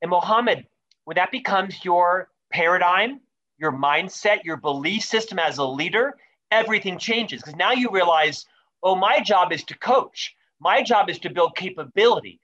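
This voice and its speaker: A man's voice: high-pitched, expressive, normal speed, a bit bright, highs cut off. A man in his fifties, a leadership author, talking as an interview guest with emphatic, carefully enunciated speech that stacks up lists of questions and points. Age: 50s. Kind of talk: animated interview answers.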